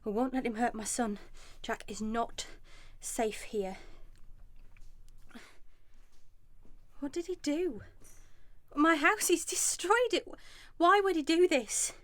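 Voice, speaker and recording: An actress playing a frightened, injured young mother in a radio drama, emotional and swinging from hushed dread to pleading. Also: high voice, measured pace, no echo. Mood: hushed fear, pleading. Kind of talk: radio drama monologue with acted dialogue.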